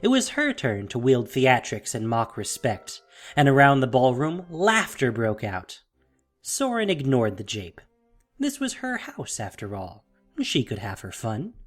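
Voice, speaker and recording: A narrator tells a fantasy story in a dramatic, scripted style. The pitch moves widely and expressively, while quiet music plays behind the voice. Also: huge pitch swings, measured pace. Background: soft music.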